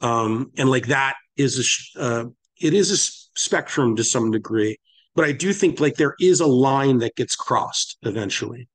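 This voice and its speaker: A man hosting a podcast discussion, speaking in a halting, reflective way with philosophical phrasing. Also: mid-range voice, animated. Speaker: podcast host.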